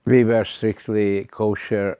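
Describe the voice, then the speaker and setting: Old guy in his 90s, deliberate storytelling, dull lo-fi sound. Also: fairly low pitch, flat delivery, clear, resonant tone, no room sound. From Slovakia. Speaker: interviewee. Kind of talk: recounting life memories. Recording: dry muffled lo-fi recording.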